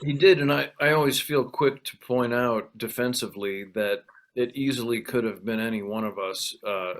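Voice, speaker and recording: A man's voice: mid-range voice, normal speed. Rambling man on a video call. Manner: rambling, self-deprecating, with frequent restarts. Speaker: event host. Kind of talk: casual conversation on a video call.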